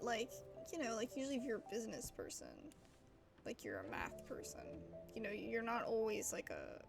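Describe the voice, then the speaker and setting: A woman's voice: on the high side, creaky. A woman streamer doing casual, chatty let's play commentary and reading game lines aloud in an informal way. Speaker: gaming streamer.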